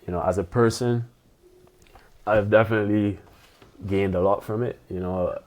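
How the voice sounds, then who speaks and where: A man in his twenties from Barbados, a pro tennis player chatting as a podcast guest, relaxed and loose with lots of "you know". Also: lowish voice, normal speed. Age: 20s. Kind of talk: casual interview chat.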